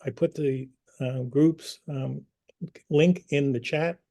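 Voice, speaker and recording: A man hosting an online community meeting, speaking earnestly and personally, pausing with fillers and restarts. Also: mid-range voice, fairly even intonation, vocal fry, dark tone. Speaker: community group host.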